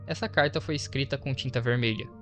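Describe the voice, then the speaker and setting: A man's voice: mid-range voice, fast, a bit bright. A Brazilian man narrating a horror story recap as a video host, explaining it with informal asides. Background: faint to clear background music.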